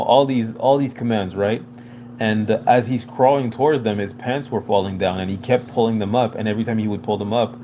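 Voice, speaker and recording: A man's voice: fairly low pitch, noisy background. A man whose first language is French, hosting a casual livestream and talking loosely to his chat, full of "you know" fillers. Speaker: livestream host.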